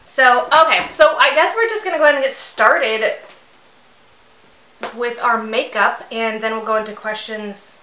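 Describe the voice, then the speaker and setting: Thirties female beauty vlogger, rambling and giggly, high bright voice, roomy echo. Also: normal speed, a little hiss or hum. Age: 30s. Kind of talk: chatty get-ready-with-me. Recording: echoey room.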